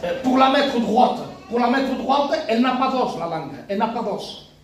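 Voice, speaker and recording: A man preaching a sermon with emphasis, repeating phrases and swinging between loud cries and hushed asides. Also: very high-pitched, expressive, normal speed, a little hiss or hum. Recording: very echoey hall, mic from far off.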